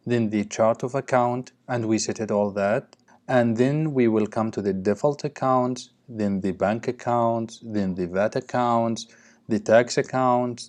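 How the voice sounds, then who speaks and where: A man explaining software step by step as an instructor in a screen tutorial, checking in as he goes. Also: fairly low pitch.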